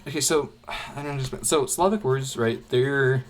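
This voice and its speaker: A man's voice: medium pitch, normal speed, a little hiss or hum. A young man chatting casually while he draws, rambling with many fillers and restarts. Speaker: art channel creator. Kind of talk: casual chat while drawing.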